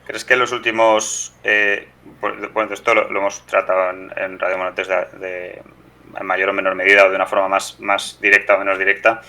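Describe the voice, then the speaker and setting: Male interviewer, young, Spanish accent from Spain. Very bright, forceful timbre, flat intonation, wordy and halting. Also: sits low. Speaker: radio interviewer. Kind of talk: conversational interview questions.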